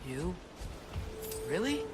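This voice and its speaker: Earnest, fairly high-voiced teenage boy. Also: sing-song, takes it slowly, music in the background, heavily acted. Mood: earnest, curious. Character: earnest, curious teenage boy adventurer, eager and questioning. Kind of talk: video game voice acting. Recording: clear ambient noise behind.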